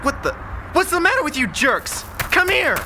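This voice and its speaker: Shrill, bossy teenage bully. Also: female voice, sing-song, moderate pace, slight background noise, exaggerated voice. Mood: angry, threatening. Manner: shouting, bossy. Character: bullying teenage tough, angry, loud, bossy. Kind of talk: audio drama acting.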